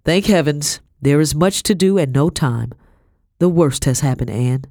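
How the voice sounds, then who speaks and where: A woman voicing a domineering, desperate colonial gentleman in an audiobook, urgent, forceful and commanding. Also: deep voice, big pitch swings, moderate pace, no room sound, studio quality. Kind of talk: dramatic audiobook character acting.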